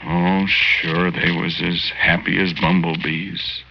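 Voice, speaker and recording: A man's voice: deep voice, level tone, slow, creaky, full character voice. A man acting in a 1940s radio drama as a good-hearted, sentimental ghost, telling his story in folksy, ungrammatical slang. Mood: wistful, plainspoken. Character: good-hearted lovelorn working-class man's ghost, sentimental and naive. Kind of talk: 1940s radio acting, first-person narration. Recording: lo-fi 1940s radio recording, loud music.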